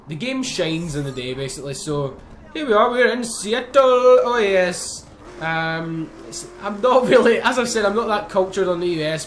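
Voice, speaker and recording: Excitable young gamer guy. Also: on the high side. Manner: chatty, excitable, swears and laughs freely. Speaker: gaming YouTuber. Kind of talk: casual gameplay commentary. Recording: faint game engine noise behind.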